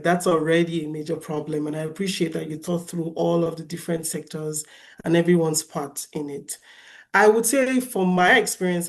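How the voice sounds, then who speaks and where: Chatty Nigerian woman. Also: deep voice. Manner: lively, chatty, laughs easily. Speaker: panel speaker, artist. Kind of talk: panel discussion.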